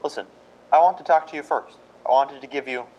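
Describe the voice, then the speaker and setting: A man's voice: medium pitch, fairly quick, darkish tone, lo-fi sound, close to an everyday voice. A man playing a harried, exasperated Hollywood publicity agent in a radio drama, speaking urgently.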